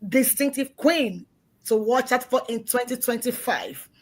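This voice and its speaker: A Nigerian woman guest on a live video call, speaking in an effusive, lively way. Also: a little high, unhurried. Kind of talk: live stream group chat.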